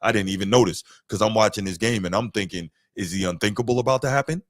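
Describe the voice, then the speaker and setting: A man co-hosting a casual sports podcast, making long, measured points. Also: lowish voice, no echo, studio quality. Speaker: podcast co-host.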